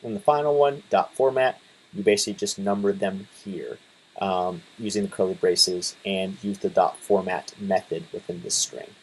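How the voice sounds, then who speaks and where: A man in his twenties casually talking through a coding tutorial, relaxed, with lots of fillers and restarts. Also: sits low, moderate pace, slightly rough, poor audio. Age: 20s. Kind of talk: casual screen-share tutorial.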